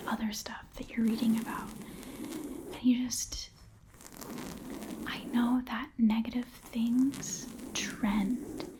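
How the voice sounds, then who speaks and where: Slow, whispering woman, hushed ASMR. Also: a little high. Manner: hushed, casual, rambling. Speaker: ASMR content creator. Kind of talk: whispered ASMR roleplay ramble.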